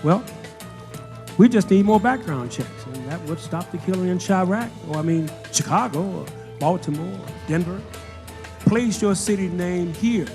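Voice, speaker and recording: A man's voice: sits high, animated, normal speed, music in the background. A man playing a bitterly sarcastic narrator delivering social criticism in an audio drama. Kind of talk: scripted audio drama monologue.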